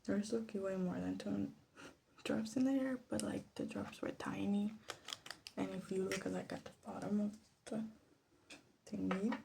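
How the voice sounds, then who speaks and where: A soft-spoken woman giving casual, rambling ASMR commentary, hesitant and drifting into whispers. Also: mid-range voice, fairly even intonation, a little slow, vocal fry, long pauses, slight background noise. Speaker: ASMR creator making perfume. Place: small room at home.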